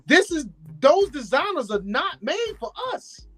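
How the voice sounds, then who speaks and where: A man on a talk show arguing in casual chat, blunt and animated. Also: extremely high pitch, unhurried, no echo, faint music behind. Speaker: show regular.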